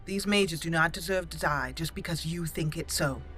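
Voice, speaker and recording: A woman doing let's play commentary on a fantasy game, slipping into formal, deliberate in-character speech. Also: low voice, a bit bright, faint music behind.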